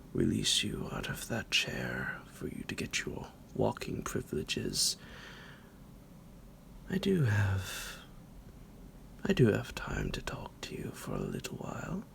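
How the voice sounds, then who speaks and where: A man playing a centuries-old psychotic vampire captor in an ASMR roleplay, possessive and mock-tender, speaking theatrically in a breathy, gravelly whisper that drops into a low creak. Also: wildly swooping pitch, a bit bright, a little hiss or hum, full character voice. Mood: playful, menacing. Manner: slow, theatrical, menacingly affectionate, chuckling. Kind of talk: ASMR roleplay addressed to the listener.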